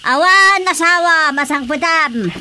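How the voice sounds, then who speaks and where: A woman's voice: very high-pitched, animated, a little slow. A Filipino woman acting a suspicious, blunt older wife in a radio drama comedy. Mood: indignant, sarcastic. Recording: noisy track with ambient sounds.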